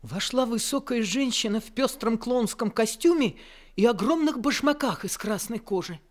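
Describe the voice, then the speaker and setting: A man's voice: very high-pitched, expressive, normal speed. A man narrating in the first person as a young man looking back on his life in an old Soviet radio play. He tells the story in a reflective, wistful way.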